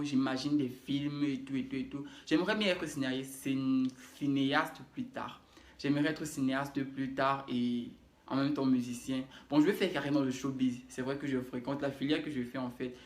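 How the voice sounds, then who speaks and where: A young man with a Cameroonian French accent chats casually to camera, introducing himself. He rambles, repeats phrases and corrects himself as he goes. His voice sits at an ordinary pitch and rings in a roomy, echoey space. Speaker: YouTuber. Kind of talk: casual self-introduction vlog. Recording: echoey room.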